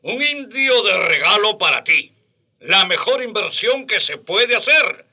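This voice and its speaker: Raspy, forceful Spanish colonist father. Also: high-pitched, sing-song, measured pace, full character voice. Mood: proud, generous. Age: middle-aged. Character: boastful, money-minded Spanish father back from the colonies. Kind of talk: radio drama acting.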